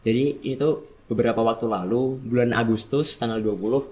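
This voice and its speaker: A teenage boy with a Javanese accent, a student guest on a school podcast, explaining things at length in a relaxed way. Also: medium pitch, brisk. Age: teens. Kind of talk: casual podcast chat.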